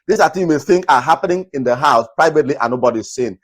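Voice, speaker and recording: A man from Nigeria hosting a talk video, earnestly advising viewers in a naturally loud voice and repeating his points. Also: fairly high pitch, lively intonation, quick pace, slightly rough. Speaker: host. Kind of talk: casual commentary to camera.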